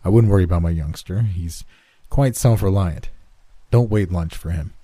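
A man acts out a formal, learned father in a storybook. His mellow politeness sounds made for company and carries a strict edge, in a dry recording. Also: low voice, moderate pace, no room sound. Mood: dismissive. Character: astronomer father, falsely gentle, strict, formal.

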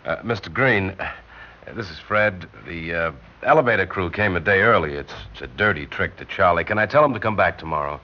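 Very deep-voiced, soft-hearted building super. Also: male voice, brisk. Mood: hesitant, earnest. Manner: hesitant, pleading, protective. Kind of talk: classic radio drama acting. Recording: dull old radio recording.

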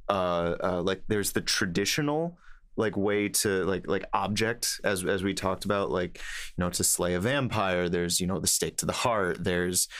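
A man in a casual podcast discussion, talking in a halting way and repeating words as he gathers his thoughts. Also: sits low, fairly bright tone.

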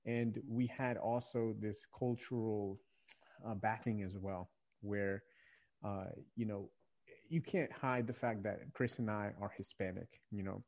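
Mellow, earnest young man, low-pitched. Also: fairly even intonation, a little slow. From Virginia, USA. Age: young adult. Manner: earnest and reflective, often restarts phrases while searching for words. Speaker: podcast guest, young adult ministry leader. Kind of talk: casual conversational interview.

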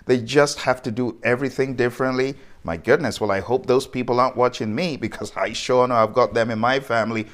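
A man's voice: mid-range voice, fairly even intonation, a little fast, hardly any pauses. A man giving an informal lecture as a project management instructor, speaking directly to the listener with rhetorical questions and point-by-point emphasis.

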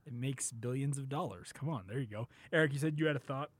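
A man's voice: medium pitch, fast talker, dry recording. A man hosting a sports talk show in a casual, unscripted style.

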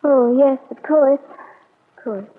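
An American actress playing a heartbroken, brooding young niece in a classic radio serial, wounded and melodramatic in delivery. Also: female voice, high voice, slow. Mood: distracted, uneasy. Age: young adult. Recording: tinny narrow-band old radio recording.

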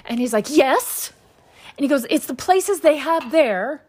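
A woman with a slightly high voice tells a long story in easy conversation. Her humor is dry and deadpan, and she keeps going through a steady stream of ums and uhs. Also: unhurried. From Utah, US. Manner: wry, deadpan storytelling with fillers.

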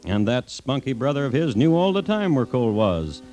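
Man as a deliberate radio crime narrator over music. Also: medium pitch, big pitch swings, darkish tone, natural voice. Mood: grave, wry. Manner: deliberate, literary, storytelling. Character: measured male crime-chronicle host, wry and grave. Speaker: host and narrator. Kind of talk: scripted 1950s radio drama narration.

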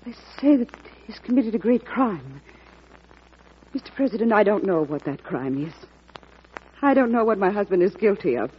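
A woman's voice: medium pitch, expressive, normal speed, long pauses, highs cut off, a little hiss or hum, natural voice. An actress playing a dignified wife pleading for her condemned husband's life in an old radio drama. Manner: earnest, pleading, restrained. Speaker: petitioner's wife. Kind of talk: 1950s radio drama acting.

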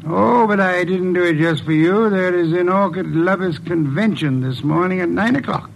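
An actor playing a shrewd, self-assured detective in 1940s radio style, speaking in a pompous, precise, deliberate way. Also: male voice, high-pitched, creaky, a little hiss or hum, low-quality recording. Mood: smug, amused. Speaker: lead detective. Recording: vintage radio drama recording.

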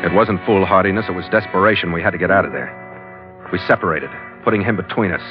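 An actor playing a terse, wry, world-weary ship's skipper in an old hardboiled radio drama. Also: male voice, deep voice, fast, music in the background, close to an everyday voice. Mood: tense, wry. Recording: muffled vintage radio recording.

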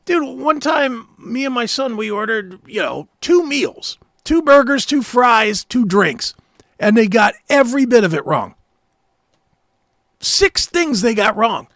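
Man, middle-aged, American, high-pitched voice, close dry sound, blunt opinionated talk show banter. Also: sing-song, unhurried, a bit bright, dry recording. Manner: blunt, swearing, ranting. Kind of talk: casual, opinionated chat.

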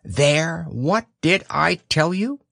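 Man as a high-voiced motherly kangaroo. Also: animated, slow pace, vocal fry, a bit bright, dry recording, studio quality, heavily acted. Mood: mildly severe. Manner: cheerful, fussing, mildly severe. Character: motherly female kangaroo, brisk, soothing, firm. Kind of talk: storybook character reading.

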